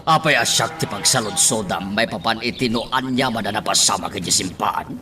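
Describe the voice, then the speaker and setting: An actor with an Ilocano Filipino accent playing an irritable, dismissive husband in a radio drama, forceful and defensive. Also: male voice, fairly low pitch, a little fast, over music, natural voice. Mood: irritated.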